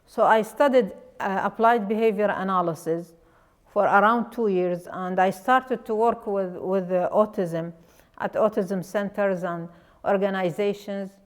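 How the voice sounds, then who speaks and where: Iraqi-accented woman, educator and life coach, earnest personal talk, halting phrasing. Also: mid-range voice. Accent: Iraqi Arabic. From Iraq. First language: Arabic. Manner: earnest, pausing often with fillers and restarts.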